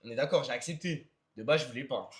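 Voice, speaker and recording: A man's voice: mid-range voice, fast talker, reverberant. A man co-hosting a stream, half-joking as he pleads and repeats himself in casual chat. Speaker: co-host of the reaction stream.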